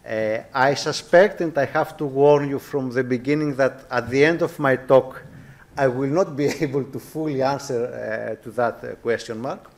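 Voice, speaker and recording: A man with a Greek accent giving a formal academic lecture as a guest professor, courteous and measured, often restating his phrases carefully. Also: mid-range voice. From Greece.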